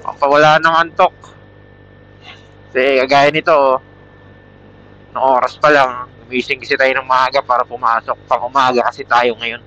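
A Filipino man talks off the cuff as a motovlogger, rambling casually to his viewers. His voice is bright and forceful at an average male pitch. It comes through a helmet intercom mic, so the sound is muffled and lo-fi. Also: flat delivery, normal speed, pauses often. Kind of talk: casual rambling vlog talk with product pitch. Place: outdoors, riding a motorcycle at night. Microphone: Bluetooth helmet intercom mic.

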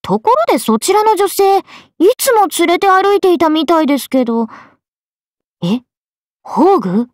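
A woman's voice: very high-pitched, big pitch swings, measured pace, dry recording, clean studio sound, exaggerated voice. A woman voicing a young swordswoman character in a video game. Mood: polite, curious. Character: swordswomen: a lively young one and her stoic alter self.

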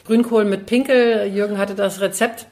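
A middle-aged woman from Hesse, a district mayor, chatting casually and lively in an interview, laughing easily. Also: mid-range voice, normal speed. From Hesse, Germany.

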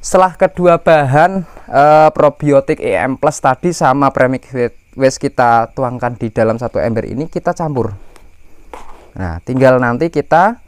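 A man's voice: mid-range voice, normal speed, clear, resonant tone, dry recording. A man from Indonesia giving an informal step-by-step farming tutorial as the host, explaining things in a somewhat hesitant way and addressing viewers directly. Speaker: livestock farmer and channel host.

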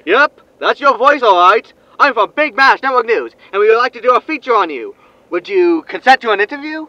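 A man's voice: very high voice, lively intonation, a little fast, pushed and bright, no room sound, dull audio, full character voice. An actor playing a brash, smug male reporter in a sci-fi comedy audio drama, with an insistent, theatrical way of interviewing. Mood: pushy, smug.

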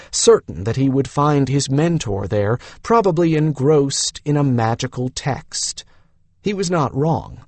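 A man's voice: medium pitch, darkish tone, no echo. A man reading a fantasy novel aloud as a scripted audiobook narration.

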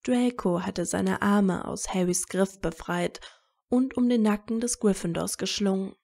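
Slow, mellow woman narrating a story. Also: medium pitch, creaky. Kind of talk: scripted story narration of a romantic fan fiction.

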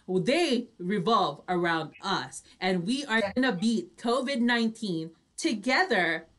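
A woman with a Filipino accent hosting an online radio chat show in a bubbly, exclaiming manner, moving between shout-outs and announcements. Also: mid-range voice, lively intonation, takes it slowly. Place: indoors, on a video call.

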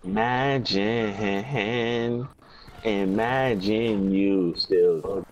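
A man's voice: fairly low pitch, drawn-out words, darkish tone, slight background noise. A young man trash-talking over game voice chat, taunting and mocking with repeated jabs. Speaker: gaming YouTuber.

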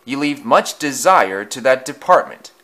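A man voicing a calm, wise older male steam engine who speaks in a dry, measured way. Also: medium pitch.

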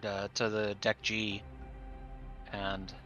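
Deepish, bright-voiced man on a dull mic. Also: completely flat delivery, takes it slowly, soft background music. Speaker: tabletop game player. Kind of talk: casual in-character game chat. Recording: dull, band-limited microphone sound.

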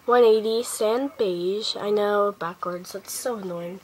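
A woman's voice: mid-range voice, measured pace, darkish tone. A teenage girl who is sick and sniffly, talking casually through a makeup routine step by step in her bedroom. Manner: chatty, step-by-step, talking while applying makeup. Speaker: beauty vlogger. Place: small bedroom indoors. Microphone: handheld device camera close up. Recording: faint background noise, lo-fi device mic.

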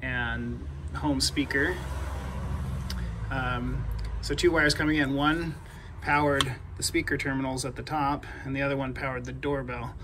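A man giving a casual hands-on DIY tutorial in a halting way, repeating words as he explains, recorded outdoors. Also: medium pitch, fairly even intonation. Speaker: DIY homeowner. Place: outdoors by a house front door. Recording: clear background noise, outdoor ambience.

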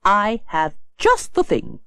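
A man reading an audiobook, putting on a soft, raised voice for a gentle young mother. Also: high voice, huge pitch swings, slow pace, clean tone, dry recording, voice pushed up, heavily acted. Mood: helpful.

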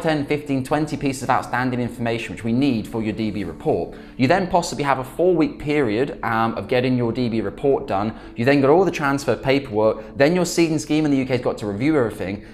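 A man in his thirties giving a conversational, emphatic explanation to camera as a financial adviser, on a lapel mic. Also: mid-range voice. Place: small quiet office, indoors.

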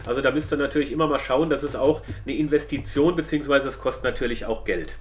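A man's voice: medium pitch, quick pace, a little hiss or hum. A middle-aged man, casually explaining a product to viewers as he reviews it. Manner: explanatory, addresses viewers directly.

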